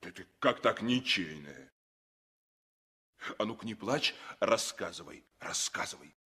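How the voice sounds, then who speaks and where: A man's voice: on the low side, lively intonation, unhurried, bright, forceful tone, slightly rough, exaggerated voice. A man in a 1960s radio play, playing a jovial, protective revolutionary sailor who talks in hearty, coaxing phrases. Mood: concerned, soothing.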